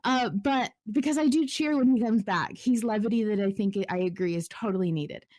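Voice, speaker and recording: A woman's voice: medium pitch, fairly quick, a little mellow. A woman chatting casually as a podcast host, effusive and slangy, with frequent asides. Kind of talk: casual fan discussion.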